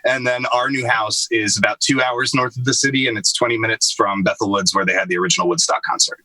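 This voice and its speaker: Bright-voiced man on a video call. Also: mid-range voice, fairly even intonation, fast talker, no room sound, poor audio. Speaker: guest musician. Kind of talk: casual video-call interview. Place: cab of a moving truck.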